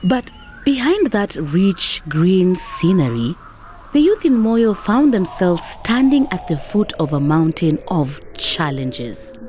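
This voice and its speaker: A woman's voice: lowish voice, sing-song, takes it slowly, darkish tone, a little hiss or hum. A woman hosting a narrated documentary podcast with dramatic, rhetorical storytelling delivery. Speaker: podcast host. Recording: music behind the voice.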